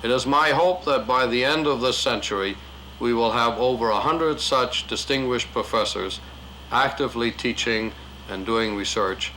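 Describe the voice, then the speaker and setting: A man speaking as a university leader, giving a measured, formal prepared statement. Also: mid-range voice, pushed and bright, rough recording. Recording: faint hum and room noise.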